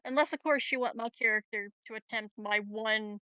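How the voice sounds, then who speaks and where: A woman's voice: fairly high pitch, normal speed, sharp tone, smooth, dry recording, poor audio. A woman chatting casually as a player in a tabletop roleplaying game, explaining herself a little hesitantly.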